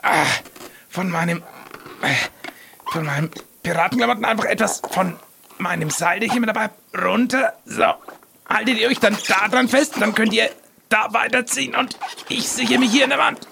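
Male player in a casual pirate role-play, slightly high voice, raspy with plenty of fry. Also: normal speed, background noise. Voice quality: husky, creaky. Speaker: player acting a pirate in an improvised adventure.

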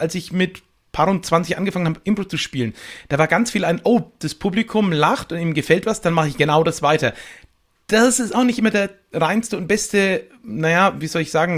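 A man chatting on a podcast as a guest, explaining things reflectively in loose, winding sentences. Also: high voice. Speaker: podcast guest, improv stage performer. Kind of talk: casual podcast conversation.

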